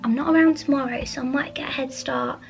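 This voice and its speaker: A woman vlogging casually from her studio, croaky from a chest infection, chatty with plenty of ums and soft asides. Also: sits high, fairly even intonation, fast talker, over music. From England. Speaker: vlogger, artist. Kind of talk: casual studio vlog chat. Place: art studio indoors.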